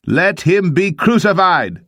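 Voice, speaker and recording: A man's voice: high-pitched, big pitch swings, slow pace, sharp tone, no echo, clean studio sound, pitched above the performer's own voice, full character voice. A man voicing a fierce, angry mob, crying out demands in a dramatised scripture reading.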